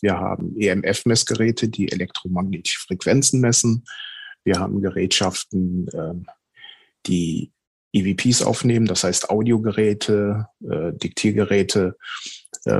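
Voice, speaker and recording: A man answering as a podcast guest, explaining in a conversational, somewhat halting way. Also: sits low, fairly even intonation, creaky, darkish tone, slightly rough, no echo. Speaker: podcast guest, paranormal investigator.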